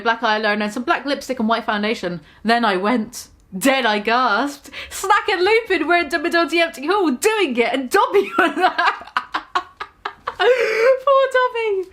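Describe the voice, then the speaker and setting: A woman's voice: sits high, lively intonation, moderate pace, a bit bright. A young woman giving a mock-dramatic reading of a fan story, swearing and breaking off to comment on the text. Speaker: reader.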